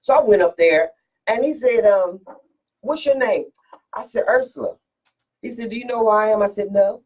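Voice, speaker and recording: A woman with a deep, strong voice, a singer from Philadelphia, telling stories in a casual radio interview with lots of restarts and repeated words. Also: moderate pace, a little mellow, distant mic, poor audio. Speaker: guest singer.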